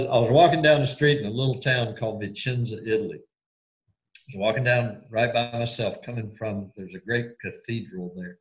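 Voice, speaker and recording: A man's voice: medium pitch, poor audio. A man with a Southern accent co-hosting a casual chat, telling folksy anecdotes in a dry way. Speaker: co-host.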